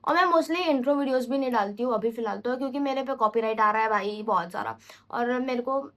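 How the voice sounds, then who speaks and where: A young woman with a high voice vlogs in a loose, unscripted way. She rambles and chats and often corrects herself mid-sentence. She mixes English phrases freely into her speech. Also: quick pace, fairly bright tone. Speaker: vlogger. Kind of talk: casual unscripted vlog talk.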